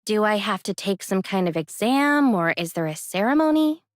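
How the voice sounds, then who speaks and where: A woman's voice: medium pitch, big pitch swings, moderate pace, no room sound, clean studio sound, lightly acted. A woman playing an easygoing, friendly young heroine in an anime game, speaking casually with a light teasing edge. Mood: wry, questioning.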